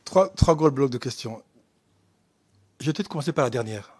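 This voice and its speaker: A man from Rwanda speaking as an ambassador at a hearing, answering off the cuff with emphatic repetition and halting restarts. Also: mid-range voice, lively intonation, husky, dry recording. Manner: emphatic, halting, repeats himself. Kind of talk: spontaneous formal answers at a hearing.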